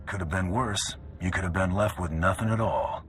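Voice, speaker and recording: An actor playing a cool, tough bounty hunter in a video game, laconic, calm and hard-boiled. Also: male voice, deep voice, moderate pace. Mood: dry. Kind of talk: video game character acting. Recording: music behind the voice.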